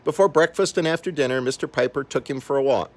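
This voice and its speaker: An older man reading a children's story aloud in an expressive, animated way, acting out the characters. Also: medium pitch, fast. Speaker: storybook reader.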